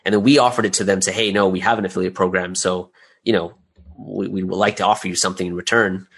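Male, lower-pitched, conversational guest explaining things in a halting, think-aloud way. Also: steady tone, a bit bright, husky. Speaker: interview guest, audio drama creator and business owner. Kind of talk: casual conversational interview answers.

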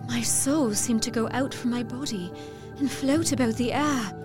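A woman's voice: on the high side, measured pace, music in the background, close to an everyday voice. A woman playing a delicate young Victorian lady in a gothic audio drama, speaking in a wistful, half-dreaming way. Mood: dreamy, haunted.

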